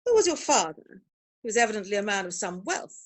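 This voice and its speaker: A woman playing an imperious, snobbish older aristocratic mother in a theatrical comedy reading, speaking with pompous, deliberate emphasis. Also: medium pitch, very animated. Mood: scornful, inquisitive. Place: virtual performance from home in isolation.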